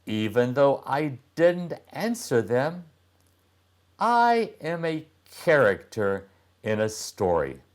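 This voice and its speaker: A man's voice: mid-range voice, big pitch swings, takes it slowly, pitched above the performer's own voice. A man voicing a mysterious, smiling young princess in a children's storybook read-aloud. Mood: pleasant.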